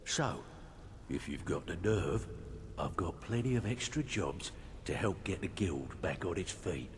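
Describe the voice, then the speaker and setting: An actor playing a gruff, streetwise veteran thief in a video game, blunt and knowing, with a superstitious streak. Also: male voice, low-pitched, expressive, unhurried. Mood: grim. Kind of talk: video game character dialogue. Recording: game audio with clear background noise.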